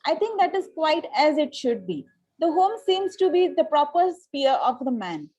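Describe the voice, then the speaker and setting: Haughty young society lady, played by a woman, high-pitched, formal and sure of herself, Victorian comedy table read. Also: big pitch swings, moderate pace, a little mellow, low-quality recording. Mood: haughty, pronouncing. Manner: formal, pronouncing, self-assured. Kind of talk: amateur play table read.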